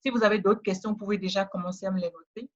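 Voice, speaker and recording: Woman, West African French accent, webinar sales pitch, persuasive, repetitive, direct address, mid pitch, slightly muffled audio. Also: steady tone, racing. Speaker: trainer selling a course.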